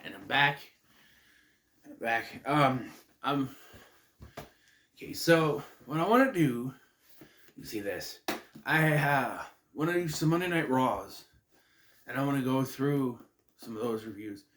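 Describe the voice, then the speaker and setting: A man talks casually to camera from his room at home, a little nasal from a cold he is still shaking. His pitch swings up and down as he rambles and corrects himself. The room gives his voice a noticeable echo. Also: takes it slowly, pauses often, a little hiss or hum. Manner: chatty, self-interrupting, lively pitch swings. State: getting over a cold, slightly nasal, clearing throat. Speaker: host of a wrestling review channel. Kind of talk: casual rambling vlog intro. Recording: echoey room.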